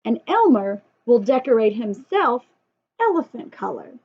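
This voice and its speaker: Friendly storybook elephant, read by a woman: admiring, plain-spoken, high, slow, on a dull lo-fi recording. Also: big pitch swings, breathy edge, exaggerated voice. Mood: cheerful, admiring. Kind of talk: children's storybook character voice.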